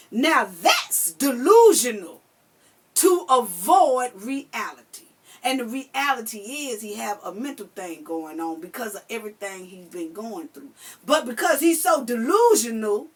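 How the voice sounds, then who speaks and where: A woman's voice: high voice, fairly bright tone. A woman giving a blunt, emphatic commentary in reply to another video, repeating her key phrases for force and now and then raising her voice. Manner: emphatic and repetitive, hammering phrases home; blunt and profane.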